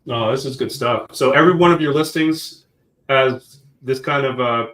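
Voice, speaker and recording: A man's voice: medium pitch, moderate pace, a bit bright, roomy sound, rough recording. A man from the Caribbean, hosting an interview and encouraging his guest with short affirmations and long, rambling questions. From Caribbean, born in Guyana. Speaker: host. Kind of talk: casual interview over a live stream.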